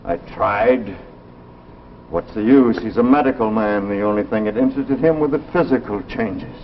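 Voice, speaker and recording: An actor playing a heartbroken, lovelorn husband in a 1950s television drama, with an earnest, wistful delivery. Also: male voice, fairly low pitch, moderate pace, close to an everyday voice. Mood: resigned. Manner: earnest, wistful, repeats phrases. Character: heartbroken, lovelorn husband recounting his lost wife. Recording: muffled 1950s broadcast with faint hiss.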